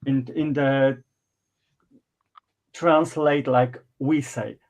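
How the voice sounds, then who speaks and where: A man with a Polish accent hosting a craft livestream, speaking halting English with many fillers. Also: mid-range voice, fairly even intonation, darkish tone. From Poland. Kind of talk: casual livestream chat.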